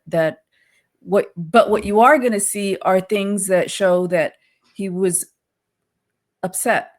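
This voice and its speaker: A woman's voice: medium pitch, darkish tone. A woman co-hosting a casual legal discussion, thinking aloud in a tentative way. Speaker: co-host discussing law.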